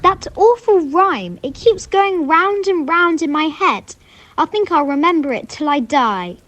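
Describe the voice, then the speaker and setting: An anxious, brooding young man in a radio play, acted by a woman. The voice is high and swings widely in pitch. Lines come slowly, heavy with fretful dread. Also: lively intonation, fairly bright tone, full character voice. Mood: haunted.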